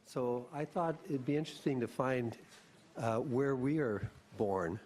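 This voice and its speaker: Guy chairing a council meeting, relaxed, lots of uhs, faint room hiss. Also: mid-range voice. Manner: unhurried, loose, with many fillers. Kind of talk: meeting small talk, running the table. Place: council chamber, table mics.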